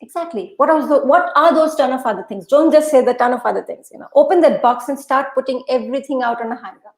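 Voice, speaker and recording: A woman's voice: sits high, fast talker. A woman whose native language is Urdu gives conversational motivational advice as a podcast guest, in an emphatic, instructive way that repeats phrases for effect. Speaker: podcast guest, mindset coach. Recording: echoey room.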